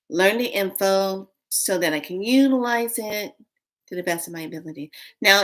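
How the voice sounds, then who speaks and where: Chatty woman in her late fifties. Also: mid-range voice. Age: 50s. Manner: chatty, rambling, animated storytelling. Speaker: vlogger. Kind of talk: casual live stream chat.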